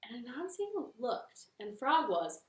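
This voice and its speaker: Animated woman telling a children's story. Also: a little high, unhurried, reverberant, a little hiss or hum. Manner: animated, with playful asides to listeners. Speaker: library storyteller. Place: living room at home.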